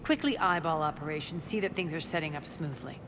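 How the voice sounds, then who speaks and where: An actress playing a commanding, vengeful alien crime boss in a video game, speaking in an imperious, coolly sardonic way. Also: female voice, deep voice, a little fast, noisy background, lightly acted. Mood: brisk, commanding. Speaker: crime boss and rebel leader. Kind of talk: video game dramatic acting. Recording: loud game music, long reverb.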